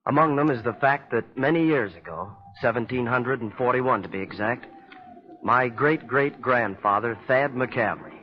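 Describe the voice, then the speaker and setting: An actor playing an earnest wounded man of an old clan, telling his tale in measured 1940s radio style. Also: mid-range voice, close to an everyday voice. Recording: dull 1940s radio recording.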